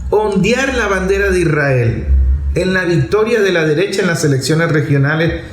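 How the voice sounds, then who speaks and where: Male political commentator, Venezuelan accent, slightly high voice, emphatic phrasing, unscripted, in a roomy echoing recording. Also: animated, normal speed. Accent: Venezuelan Spanish. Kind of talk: political monologue, spoken off the cuff. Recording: echoey room with faint background noise.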